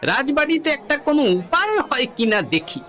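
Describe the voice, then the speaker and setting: A woman's voice: high-pitched, big pitch swings, brisk, a bit bright, a faint crowd. A woman voicing a witty, self-important male court jester in a comic cartoon, with theatrical, wry delivery. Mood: baffled. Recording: music behind the voice.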